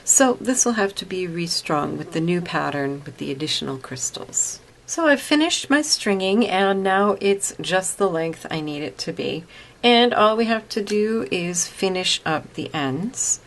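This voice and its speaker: A woman's voice: lowish voice, expressive, measured pace. A woman narrating a step-by-step craft tutorial, explaining each step plainly and patiently.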